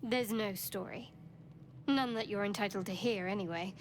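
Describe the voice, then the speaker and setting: A woman's voice: mid-range voice, moderate pace, lightly acted. An actress playing a guarded, secretive young adventurer woman in a fantasy video game, reserved and dryly wry. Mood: cool, secretive. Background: game music.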